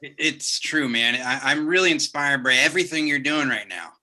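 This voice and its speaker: A man's voice: medium pitch, normal speed, sharp tone. A man playing a falsely friendly rival comedian in his thirties, chummy and needling, in a comedy table read. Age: 30s.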